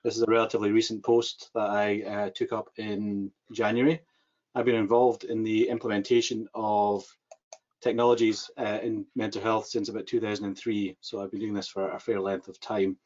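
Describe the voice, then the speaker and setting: Scottish man, middle-aged, low-pitched presenter with a steady, halting delivery, recorded over a muffled video call. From Scotland. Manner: measured, many "uh" pauses. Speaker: government mental health advisor. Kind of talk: webinar presentation. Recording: dull lo-fi video call audio.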